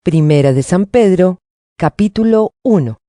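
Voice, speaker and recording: Very dark-voiced woman, slow announcer. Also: on the low side, animated, no echo, clean studio sound. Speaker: announcer of book and chapter titles. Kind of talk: scripted title announcement.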